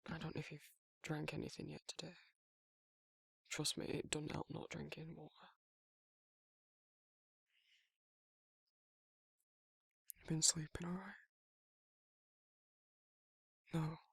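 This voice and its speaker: A man playing a shy, protective werewolf in a romantic ASMR roleplay, speaking haltingly and reassuringly in a gravelly, husky voice. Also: fairly high pitch, little pitch movement, dry recording, full character voice. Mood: gentle concern. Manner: halting, awkward, softly reassuring. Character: shy, protective, tender young male werewolf in love. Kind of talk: romantic ASMR audio roleplay, intimate and conversational.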